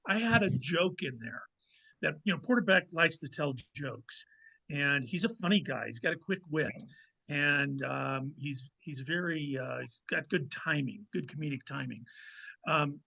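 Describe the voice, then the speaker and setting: Husky, creaky man, higher-pitched and bright. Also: expressive, a little slow. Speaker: guest author. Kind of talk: casual interview anecdote. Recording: dull, slightly lo-fi microphone sound.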